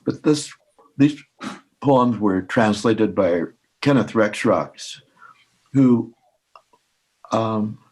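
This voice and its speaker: A man speaking and reading aloud at an informal poetry reading, halting and unhurried, with pauses. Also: lowish voice, darkish tone, rough recording.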